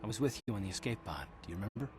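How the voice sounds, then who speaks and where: A man's voice: lowish voice, animated, rapid-fire, music in the background, natural voice. An actor playing a resolute, weary male Republic soldier, speaking earnestly in a dramatic video game style, explaining with some urgency. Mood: calm, reassuring.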